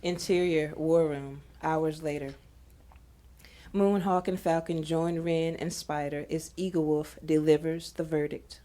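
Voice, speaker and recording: Female narrator-host, mellow and unhurried, reading stage directions for audio drama. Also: deep voice, steady tone. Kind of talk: scripted narration of screenplay directions.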